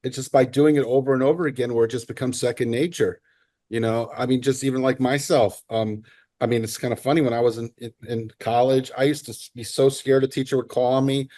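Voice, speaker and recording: A man's voice: medium pitch, fairly even intonation, fairly quick, mellow, no room sound. A man speaking as a guest at a real estate seminar, casual, with many fillers. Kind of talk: seminar talk.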